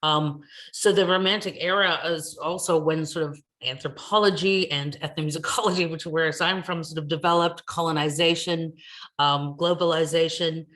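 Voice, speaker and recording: An American woman giving an academic talk over a video call, thinking aloud with many pauses and ums. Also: sits low, steady tone, fairly bright tone. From United States. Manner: thoughtful, hesitant, many ums. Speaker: academic guest speaker. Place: home in New Zealand.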